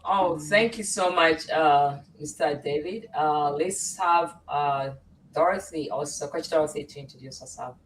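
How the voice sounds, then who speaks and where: A woman's voice: sits low, a little slow, a little hiss or hum. A middle-aged woman hosting a casual livestream panel, welcoming and with frequent fillers. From Uganda. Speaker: host. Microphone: video call. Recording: distant from the mic.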